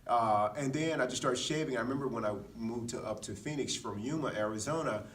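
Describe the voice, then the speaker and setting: A man's voice: mid-range voice, brisk, fairly bright tone, distant mic, slight background noise. A middle-aged American man telling personal stories casually in a candid, self-deprecating way, often saying "man" and "dude.". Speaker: podcast speaker, former Marine.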